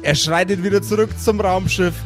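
A man narrating as game master in a dramatic improvised pen-and-paper story, with deliberate, drawn-out phrasing. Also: high voice, fairly even intonation, over music.

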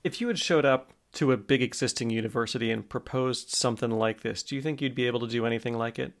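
Guy hosting an interview, talks fast w lots of vocal fry, thoughtful and affirming. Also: medium pitch. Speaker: interview host. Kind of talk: conversational interview.

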